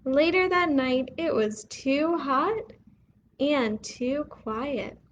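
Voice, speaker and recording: A woman hosting a bedtime read-aloud for young children, expressive and putting questions to her listeners. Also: high voice, drawn-out words, muffled recording, slight background noise. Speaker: children's story reader and host.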